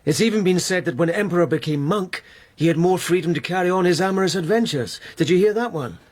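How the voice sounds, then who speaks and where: An actor playing a male court investigator in a radio drama, probing and mannerly but goading, with measured questioning. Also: high-pitched, darkish tone. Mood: accusing, goading. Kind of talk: BBC radio drama acting.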